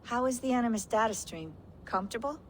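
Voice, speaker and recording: An actress playing a practical, caring technician in a video game, brisk, informal and matter-of-fact. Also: female voice, on the high side, expressive, lightly acted. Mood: brisk, friendly. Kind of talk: naturalistic video game acting. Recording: faint background noise and ambience.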